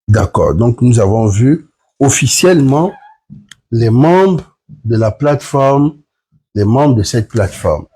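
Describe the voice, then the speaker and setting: A man's voice: mid-range voice, animated, slow, mellow, husky. A man, sick with the flu, earnestly explaining point by point to viewers in a live stream. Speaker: platform member hosting a live stream.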